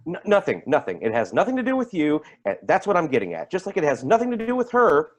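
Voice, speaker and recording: A man's voice: on the high side, huge pitch swings, lo-fi sound. Man acting a sarcastic, wildly swinging needler. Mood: bitter sarcasm. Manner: halting, repeats words when needling. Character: bitter, sarcastic man feuding with his sister's partner. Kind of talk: staged play reading.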